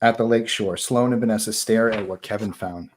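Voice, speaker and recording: A man's voice: on the low side, steady tone, brisk. A man narrating stage directions at a live screenplay table read.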